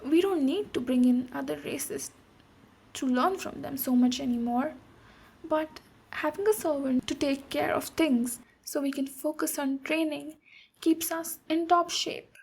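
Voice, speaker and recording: A woman voicing a tough but protective ogre warrior in a soft-spoken, reassuring ASMR roleplay, with pauses. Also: high-pitched, creaky, rough recording. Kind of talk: ASMR roleplay, speaking to the listener.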